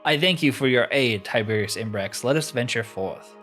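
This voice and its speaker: A man's voice: mid-range voice, lively intonation, normal speed, a bit bright, lightly acted. Gallant fantasy game knight, voiced by a man, solemn, archaic, declamatory, music underneath. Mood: grave, resolute. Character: solemn, gallant knight vowing to destroy evil. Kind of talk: reading game dialogue aloud.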